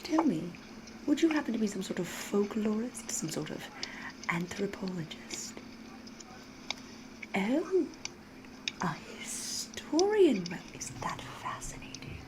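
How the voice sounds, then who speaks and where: Woman playing a slow, breathy, flirtatious vampire lady. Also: mid-range voice, big pitch swings, poor audio. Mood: coy, flirtatious. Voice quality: breathy, soft, often whispering. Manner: coy, deliberate, ominous, theatrical, drawn-out phrasing. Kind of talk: ASMR roleplay, slow whispery storytelling.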